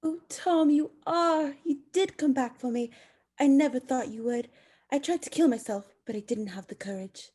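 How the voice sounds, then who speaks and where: A woman's voice: high-pitched, moderate pace, dark tone, breathy edge. A woman acting in a radio drama as a fragile, forlorn young bride, plaintive and emotional. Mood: tearful relief, weary.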